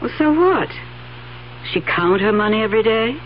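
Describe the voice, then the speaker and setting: A woman's voice: fairly high pitch, expressive, normal speed, natural voice. A woman acting greedy schemer, dull radio sound. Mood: cool, goading. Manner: cool, goading. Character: greedy, brazen female partner of a scheming nephew. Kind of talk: 1970s radio drama acting. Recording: dull 1970s radio recording, faint hiss.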